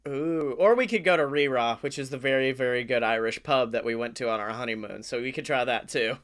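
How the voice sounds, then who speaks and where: A man chatting casually as a comedy podcast co-host, enthusiastic, talkative and quick to swear. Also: medium pitch, a bit bright.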